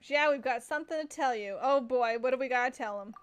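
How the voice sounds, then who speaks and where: A young woman streaming a game playthrough, reacting casually in an expressive, gushing way. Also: sits high, fast, background noise. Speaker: gaming streamer.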